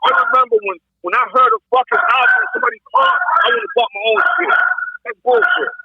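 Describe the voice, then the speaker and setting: A man's voice: very high-pitched, wildly swooping pitch, fast talker, slightly rough, dry recording. An American man, a guest in a casual heated debate, arguing bluntly and swearing freely over a dull, lo-fi connection. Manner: argumentative, blunt, profane. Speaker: podcast guest.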